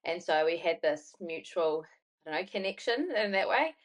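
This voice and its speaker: A woman's voice: lowish voice, animated, normal speed. A young woman from New Zealand with a New Zealand accent, a podcast guest chatting loosely and telling a story, full of fillers. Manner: chatty, rambling, many fillers and "like". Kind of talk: casual storytelling interview.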